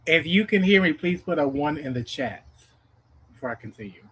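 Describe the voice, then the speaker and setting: Brief: man in his mid-thirties, livestream host, a bit high-pitched, reflective and heartfelt. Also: lively intonation, normal speed, fairly bright tone, slightly rough, low-quality recording. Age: 30s. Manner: reflective, earnest, rhetorical "okay?" check-ins. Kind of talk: casual livestream self-help talk.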